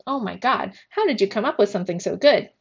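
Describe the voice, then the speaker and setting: A woman voicing an excitable, theatrical young girl in a storybook, teasing and exclaiming dramatically. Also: medium pitch, fast, creaky, husky, muffled recording. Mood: thrilled.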